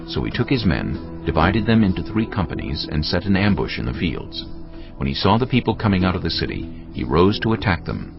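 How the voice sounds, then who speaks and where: A male narrator tells a scripture story solemnly, as in a dramatised audio Bible. His voice is very deep with a gravelly edge, yet moves widely in pitch as he tells it. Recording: muffled, band-limited audio with music. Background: music bed.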